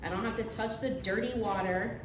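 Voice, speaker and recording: A woman's voice: medium pitch, fairly even intonation, a little fast, roomy sound, noisy background. A woman, chatting casually to camera about cleaning in her living room. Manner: chatty, homey, fillers like "like" and "um". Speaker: cleaning vlogger. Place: carpeted-free living room of a house, indoors.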